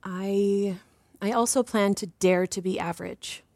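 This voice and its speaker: A woman playing an earnest, reflective doctor and survivor, speaking in a measured, slightly hesitant way in an audio drama. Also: medium pitch, steady tone, a little mellow, no room sound. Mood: modest, sincere. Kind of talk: audio drama interview acting.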